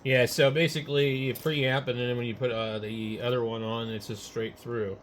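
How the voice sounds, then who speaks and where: A man with a mid-pitched voice talks shop in a casual, halting way, like an amateur radio operator thinking aloud. A clear layer of noise sits behind his speech. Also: little pitch movement. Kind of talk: casual technical chat.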